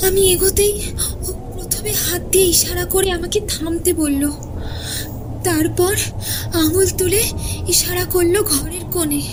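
A woman reading a scripted horror story as narrator, expressive and suspenseful, sometimes letting phrases fall to a hush. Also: very high voice, a little slow, long pauses, background noise. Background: background music.

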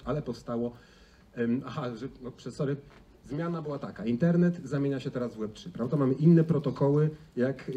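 A man's voice: mid-range voice, warm tone. A middle-aged man giving an informal conference talk in a conversational way, often adding tag questions. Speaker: conference speaker. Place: large indoor auditorium stage. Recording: distant, noisy stage sound in a hall.

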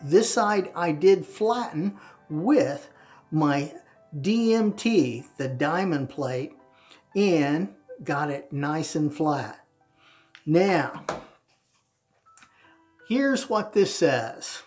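A man in his fifties presenting an instructional demonstration to camera in a deliberate, emphatic way, recorded in a home bathroom. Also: on the high side, expressive, a little mellow, soft background music. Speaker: presenter of a wet shaving channel. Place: small tiled home bathroom, indoors.

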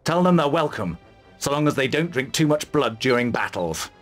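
A man playing a gruff, confident mercenary commander, curt and dry, in a fantasy video game. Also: mid-range voice, big pitch swings, normal speed, faint music behind. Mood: decisive.

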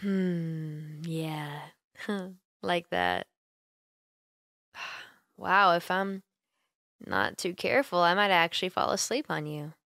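A young woman voicing an affectionate, teasing, sleepy roommate in a gentle, intimate ASMR comfort roleplay, close to the microphone. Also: fairly low pitch, takes it slowly, vocal fry, long pauses, no echo, heavily acted. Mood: sleepy, cosy. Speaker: roleplay voice actor. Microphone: close microphone, dry.

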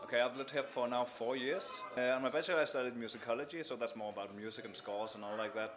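A young man from Denmark, answering interview questions thoughtfully in a cafe, pausing and restarting as he thinks. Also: medium pitch, steady tone, a crowd in the background. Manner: thoughtful, many fillers and restarts. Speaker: sound artist, interview guest. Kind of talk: relaxed sit-down interview. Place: indoors in a small cafe. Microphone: handheld mic held by interviewer.

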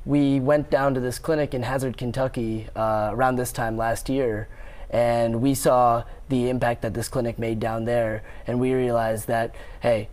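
A man's voice: medium pitch, darkish tone. A young man, a pre-med student, speaking earnestly in a TV studio interview on a lapel mic. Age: young adult. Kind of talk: live TV interview. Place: TV news studio.

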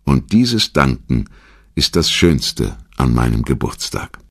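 A man voicing a grateful, humble elderly doctor giving an earnest, measured speech within a documentary. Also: a bass voice, sing-song, a bit bright, breathy edge, full character voice. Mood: solemn gratitude.

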